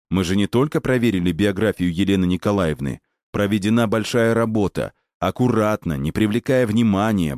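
A man's voice: on the low side, darkish tone, no room sound, clean studio sound, close to an everyday voice. A man voicing a stern male operative in an audiobook, lecturing with pointed questions and curt orders.

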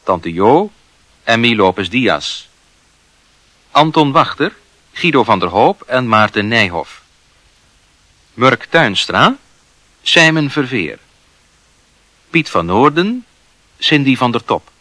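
A male announcer with a bright, crisp-edged voice and lively intonation. He reads formally and deliberately, as if listing credits for a radio production, in a dry studio sound. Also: mid-range voice, dry recording. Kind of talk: formal scripted credits reading.

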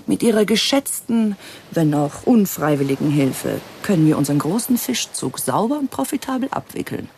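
A woman's voice: on the low side, big pitch swings, moderate pace, darkish tone, slight background noise. An actress playing a cold, greedy female villain in a radio play, giving orders with sardonic, mock-polite menace. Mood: mocking, gloating.

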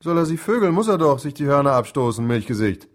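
A man's voice: a little high, very animated, fast. An actor playing a ruthless, cynical middle-aged industrialist father in a radio drama, brusque, mocking and volatile. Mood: scornful, mocking.

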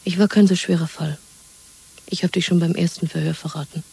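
A hollowed-out woman after torture in a radio drama. Her voice is very husky and breathy, with a creaky, muted tone. She speaks in detached, resigned statements. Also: fairly low pitch, fairly even intonation, brisk, mellow, heavily acted. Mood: numb, resigned. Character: broken, emptied-out woman released after interrogation and torture. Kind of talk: 1970s radio drama acting.

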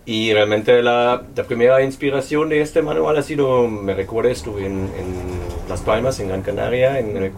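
A non-native Spanish speaker presenting their book at a public talk, speaking hesitantly and thoughtfully with many pauses and fillers. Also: medium pitch, darkish tone, recorded from a distance, slight background noise. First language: not Spanish. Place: local venue at a public presentation.

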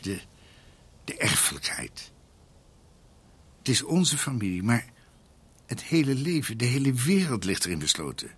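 An actor playing an ageing, scholarly doctor in a radio drama, earnest and brooding, halting as he searches for words. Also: mid-range voice, big pitch swings, a bit bright, breathy edge, exaggerated voice. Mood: earnest, absorbed. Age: older.

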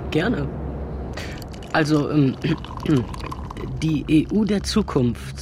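An inquisitive young man in a radio drama. His fairly high voice is casual and puzzled, with clear ambient noise behind. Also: lively intonation, very slow. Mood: curious. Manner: colloquial, inquisitive. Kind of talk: naturalistic German radio drama acting.

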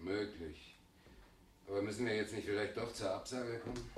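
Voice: medium pitch, moderate pace, far from the mic, lightly acted. An actor playing a restless, doubting radio production colleague in a 1960s radio play, recorded in a noisy, echoey space.

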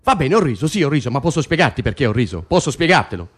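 An actor playing an excitable, teasing male political prisoner in a radio play, insistent and often repeating himself. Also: mid-range voice, racing, breathy edge. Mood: coaxing. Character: John, excitable joking male political prisoner in South Africa. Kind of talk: naturalistic Italian stage-style radio acting.

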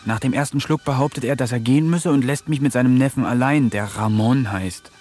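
A man's voice: mid-range voice, brisk, darkish tone, soft background music, close to an everyday voice. An actor playing an eccentric young poet who narrates his diary in a dry, ironic manner in a radio play. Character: eccentric young male poet, wants to talk with animals.

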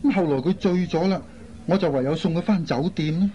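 A man's voice: sits high, fairly quick, dark tone, close to an everyday voice. An actor playing an earnest, concerned airline crewman in a radio drama. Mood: serious recounting. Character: earnest, concerned man, a flight crew colleague. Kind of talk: Cantonese radio drama acting. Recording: dull lo-fi recording, faint noise.

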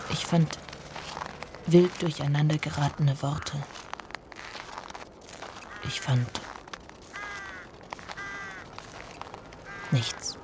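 An actress playing a woman who remembers her lost lover, narrating a literary radio drama in a hushed, deliberate, intimate way. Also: low voice, background noise. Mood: brooding. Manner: hushed, deliberate, often breathy.

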